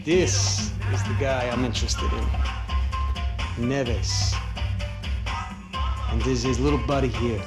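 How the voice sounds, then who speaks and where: An actor playing an affable, shrewd Brazilian police investigator in a video game, speaking conversationally and wryly with a Brazilian accent. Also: male voice, medium pitch, steady tone, slow pace, long pauses, over loud music, lightly acted. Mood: confiding. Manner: conversational, wry. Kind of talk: video game cutscene dialogue.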